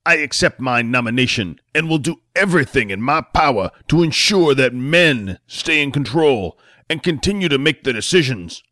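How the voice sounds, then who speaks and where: A man voicing a heavyset, enraged male senator who rants in slow, emphatic oratory. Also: medium pitch, expressive, bright, forceful tone, no room sound, studio quality, voice pushed up. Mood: ranting.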